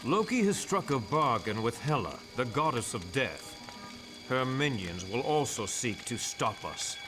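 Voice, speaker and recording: A grave warrior god from a video game, speaks with formal heroic dignity. The mid-pitched voice moves expressively, with clear music underneath. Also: male voice, sing-song, measured pace. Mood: grave warning. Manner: solemn, formal, archaic heroic speech. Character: noble, grave male thunder god warrior.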